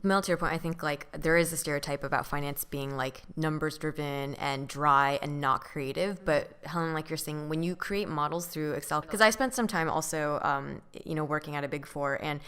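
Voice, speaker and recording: A young woman from Orange County who hosts a casual podcast chat, guiding the talk with measured questions. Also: sits low, a bit bright, clear, resonant tone. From Orange County, California. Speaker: podcast host, moderator.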